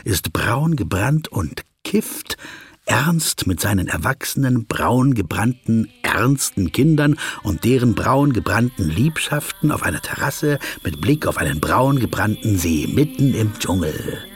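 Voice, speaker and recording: A man's voice: lowish voice, sing-song, a little slow, exaggerated voice. An actor playing the sardonic inner voice of an overthinking male corporate lawyer, talking to himself in a radio drama. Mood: wry, brooding. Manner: sardonic, self-addressing. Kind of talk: second-person inner monologue in a radio drama. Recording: music clearly behind the voice. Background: music.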